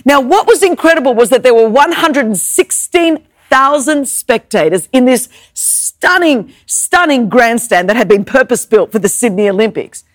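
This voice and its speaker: Woman preacher, Greek first language, high lively voice, dry close mic, emphatic sermon style. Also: moderate pace, dry recording. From Greek Orthodox family background. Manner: emphatic, repeats words, rhetorical questions.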